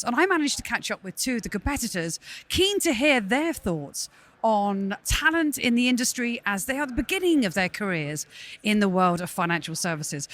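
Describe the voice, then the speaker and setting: Effusive woman hosting a podcast. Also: a little high. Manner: warm, effusive and gushing with praise. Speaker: podcast host. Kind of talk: live conference podcast interview.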